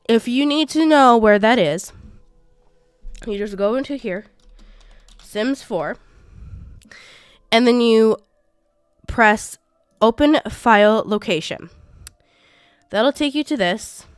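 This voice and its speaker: A woman's voice: on the high side, lively intonation, slow pace, pauses often, smooth, no room sound, clean studio sound, soft background music. Congested young woman, chatty tutorial. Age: 20s. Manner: chatty, rambling, self-correcting. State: congested from a cold. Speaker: gaming YouTuber. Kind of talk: casual screen-recorded tutorial.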